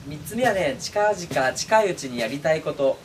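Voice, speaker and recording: A man speaking as a streamer and voice actor, chatting casually and earnestly to his fans in an intimate, pausing way. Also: on the high side, expressive. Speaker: voice actor and streamer addressing listeners. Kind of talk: casual, heartfelt chat to fans. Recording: distant mic, clearly noisy background.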